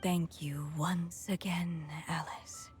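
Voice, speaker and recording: A woman voicing a gentle dying storyteller mother in a video game, tender, solemn and poetic, saying farewell to her child. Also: fairly low pitch, steady tone, slow pace. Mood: weary, fading. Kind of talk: dramatic video game acting. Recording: loud music under the voice.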